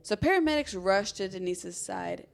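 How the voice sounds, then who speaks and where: A woman's voice: mid-range voice, expressive, normal speed. A woman hosting a true crime podcast, telling the story dramatically with casual conversational asides.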